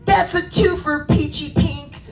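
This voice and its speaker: A woman's voice: high-pitched, steady tone, a little slow, soft background music, pitched above the performer's own voice. A woman voicing a bossy young unicorn at play, calling out argumentatively in a storybook reading. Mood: competitive, insistent. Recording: roomy, distant public-address sound.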